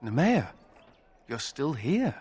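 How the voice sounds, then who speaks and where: An actor playing a wry, anxious runaway mage in a video game, expressive and sardonic in his delivery. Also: medium pitch, takes it slowly, slightly rough, exaggerated voice. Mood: wry, surprised. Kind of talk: video game dialogue acting.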